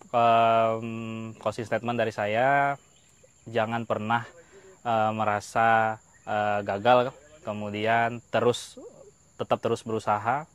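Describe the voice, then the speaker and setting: A man's voice: fairly low pitch, smooth, no echo. A young man, a lecturer being interviewed, giving casual personal testimony in hesitant, piecemeal phrases with an agreeable manner. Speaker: interview guest, university lecturer.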